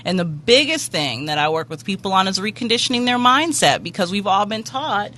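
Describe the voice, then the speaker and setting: A woman's voice: medium pitch, a little fast. A woman who works as a brand and mindset coach, talking in a casual panel discussion and acting out what other people say. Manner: animated, mimics what others say.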